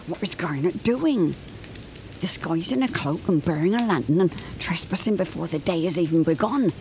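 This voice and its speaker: Woman playing a genteel older widow, low, expressive voice. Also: moderate pace, slight background noise. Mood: uneasy. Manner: dramatic, old-fashioned formal phrasing. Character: genteel older widow, anxious yet proudly stubborn. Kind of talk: period audio drama acting.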